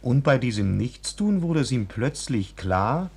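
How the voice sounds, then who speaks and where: Husky, unhurried wry storyteller. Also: male voice, mid-range voice, very animated, a little mellow, exaggerated voice. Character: dreamy, wry storyteller of a bawdy tale. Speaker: narrator. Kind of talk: 1940s German radio play narration. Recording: vintage 1940s radio recording.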